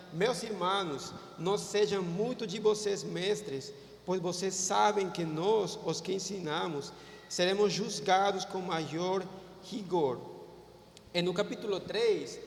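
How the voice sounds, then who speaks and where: A Peruvian man whose first language is Spanish, preaching a sermon in Portuguese with a Peruvian Spanish accent, halting and repeating words as he searches for phrases. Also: high-pitched, creaky. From Peru. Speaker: pastor. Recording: echoey hall, far from mic.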